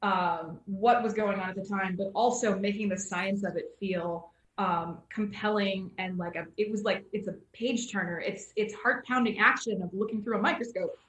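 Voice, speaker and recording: A woman moderating an informal online panel discussion, speaking haltingly as she thinks aloud. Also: medium pitch, steady tone, a bit bright. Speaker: panel moderator on a science committee. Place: indoor room, online video call. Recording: roomy, echoey video call.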